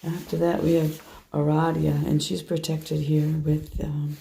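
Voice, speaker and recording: A hoarse woman chatting through a tarot card reading, soft and meandering as she shuffles and lays out cards. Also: low-pitched, little pitch movement, measured pace, slight background noise, rough recording. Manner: soft, meandering, half to herself while handling cards. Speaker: tarot reader. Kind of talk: casual tarot reading monologue.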